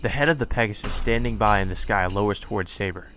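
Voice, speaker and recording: A man's voice: fairly low pitch, quick pace, a bit bright, slight background noise. A man reading visual novel narration aloud as a let's play narrator. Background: game music.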